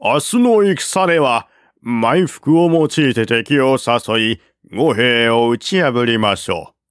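A man's voice: medium pitch, slow, no room sound, studio quality. Man putting on a confident ancient war strategist, eager, storybook reading. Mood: scheming. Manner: animated, proposing and commanding. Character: bold male military strategist laying out an ambush.

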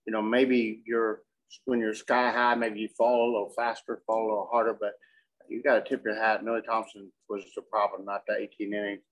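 A middle-aged man, a coach answering questions at a postgame press conference in a measured, halting way, often repeating words. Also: fairly low pitch, little pitch movement, rough recording. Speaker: softball coach. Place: indoor press conference room with curtain backdrop.

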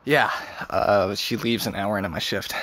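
A man playing an awkward, kind seventeen-year-old boy in an audio drama, speaking haltingly. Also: on the low side, steady tone, fairly bright tone, close to an everyday voice. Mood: low-key. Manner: hesitant, halting. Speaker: actor. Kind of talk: naturalistic audio drama acting.

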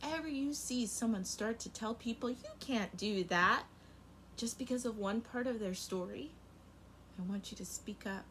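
A young woman teacher reading a picture book aloud to kindergarten children, very expressive, her loudness rising and falling sharply. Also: fairly high pitch, normal speed, a little hiss or hum. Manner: expressive and animated, with big swings in loudness. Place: home room in front of a rainbow feather backdrop.

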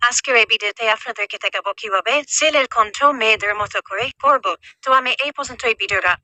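A man's voice: sits high, fast, no room sound, voice pushed up. A man voicing a woman through a voice changer app filter in a short narrated demo. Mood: plain demo. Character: woman's voice made from a man's recording. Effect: male-to-female voice changer app filter. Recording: app-processed playback under loud music. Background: loud instrumental music.

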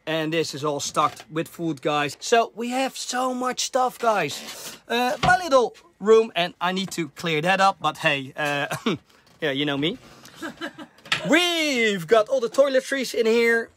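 A man in his thirties with a Dutch accent, giving an excited tour of his sailboat while filming himself. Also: high-pitched, takes it slowly, slight background noise. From Netherlands. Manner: enthusiastic, chatty, addresses viewers as guys. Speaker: sailing vlogger. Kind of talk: casual vlog boat tour. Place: on a sailboat in a harbor, cabin and deck. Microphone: camera at arm's length.

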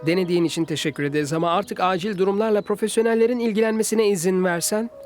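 A man voicing a confident young rescue vehicle hero in a children's cartoon, brisk and matter-of-fact. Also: sits high, fairly even intonation, darkish tone, soft background music, close to an everyday voice.

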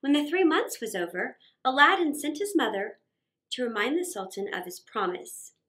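A middle-aged woman reading a story aloud for children, expressive, with theatrical pauses and emphasis. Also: high voice. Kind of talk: dramatic read-aloud story narration for children. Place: small indoor set.

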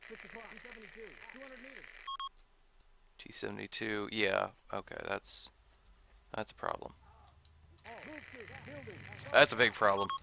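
A man's voice: on the low side, big pitch swings, unhurried, gravelly, long pauses, background noise. A young man casually commenting on his gameplay, chatty and self-deprecating. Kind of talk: let's play commentary.

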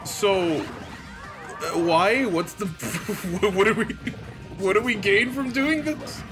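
A man's voice: sits high, slow. A man giving joking, casual commentary as a let's player. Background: game music.

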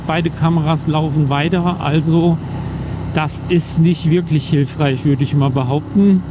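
German man talking from his motorcycle. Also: sits high. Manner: relaxed, rambling with many fillers. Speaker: motovlogger reviewing action cameras. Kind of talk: casual vlog explanation. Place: riding a motorcycle outdoors on country roads. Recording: muffled helmet mic with wind and engine noise.

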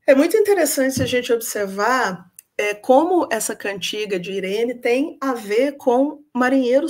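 Hesitant woman giving an online lecture. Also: medium pitch. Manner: thoughtful, many hesitation fillers. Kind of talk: academic talk on song and literature. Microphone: webcam on video call.

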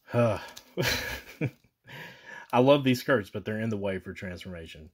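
Man with a very low voice, relaxed toy-review host walking through steps at a tabletop, off-camera mic. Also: measured pace, husky. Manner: casual, talks viewers through steps with fillers and self-corrections. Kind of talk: casual hands-on toy demonstration. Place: indoors at a table with a posterboard backdrop.

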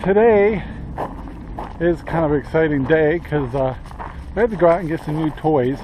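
A man's voice: a little high, normal speed, dull audio, background noise. Chatty older man vlogging outdoors. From Central Oregon, USA. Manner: chatty, rambling, with many fillers and chuckles. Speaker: homestead vlogger. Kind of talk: casual vlog talk to camera. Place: outdoors on a rural property and in a garage. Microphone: handheld camera at arm's length.

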